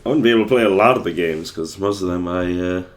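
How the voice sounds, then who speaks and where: Hoarse young guy losing his voice, real low, rambly game stream chat full of uhs and likes. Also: quick pace. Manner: rambling, many fillers and restarts. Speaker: livestreamer playing a game. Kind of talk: casual gameplay commentary.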